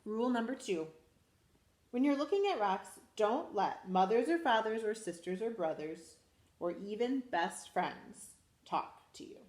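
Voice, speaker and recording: A school principal, a woman, reading a picture book aloud to children in a lively, expressive way. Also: medium pitch, a little slow, roomy sound. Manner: animated, expressive storytelling to children.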